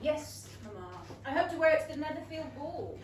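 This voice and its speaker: A woman playing a pert, sceptical young gentlewoman in a comic period stage reading, brisk and questioning. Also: high voice, lively intonation. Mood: cheerful. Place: small London theatre. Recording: very echoey theatre, distant, noisy.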